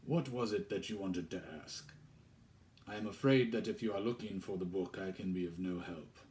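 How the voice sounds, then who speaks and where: Casting: man reading as a forbidding, deep-voiced vicar, guarded and formal, very low register. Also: moderate pace, darkish tone, close to an everyday voice. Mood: wary. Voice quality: deep, mournful. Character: tall forbidding male vicar, deep mournful voice.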